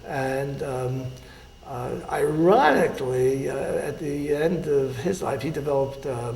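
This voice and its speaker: An older man, a surgeon, recalling his life in an oral history interview, speaking haltingly with many pauses and fillers. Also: medium pitch, creaky, darkish tone, reverberant, a little hiss or hum, rough recording.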